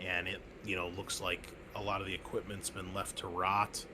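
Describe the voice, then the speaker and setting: A man narrating as game master, relaxed and full of ums and uhs. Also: deep voice, normal speed, noisy background. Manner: relaxed narration with many fillers.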